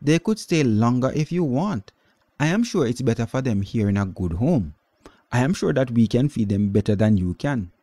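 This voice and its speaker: A man voicing a mean, scolding middle-aged aunt with a sharp, grudging manner in a storybook reading. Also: mid-range voice, lively intonation, moderate pace, no echo, natural voice.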